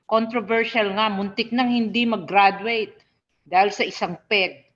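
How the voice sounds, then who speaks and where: A woman's voice: medium pitch, steady tone, moderate pace, fairly bright tone, lightly acted. A woman with a Filipino accent playing a proud, mischievous alumna in an online table read. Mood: proud nostalgic. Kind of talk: table read of a reunion script over video call. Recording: dull video-call audio.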